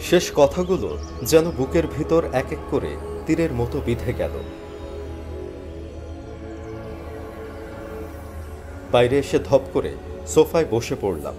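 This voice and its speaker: A man plays an earnest, downhearted young job seeker narrating a cartoon thriller in the first person. His middle-pitched voice rises and falls dramatically. Music runs clearly underneath. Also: moderate pace, lightly acted. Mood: dejected. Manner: expressive, earnest.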